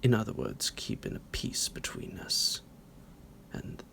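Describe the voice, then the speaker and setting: A man playing a psychotic vampire doctor in an ASMR roleplay, smug and menacing, with a slow, theatrical, teasing delivery in a gravelly half-whisper. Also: a bit bright, lightly acted. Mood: smug, sinister. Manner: slow, drawn-out, theatrical, halting, with low chuckles.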